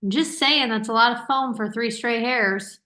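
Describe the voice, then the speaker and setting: Actress as a dry, teasing older sister. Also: female voice, a little high, normal speed, bright, forceful tone. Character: teasing, affectionate older sister, young adult. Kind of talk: staged reading of a contemporary play.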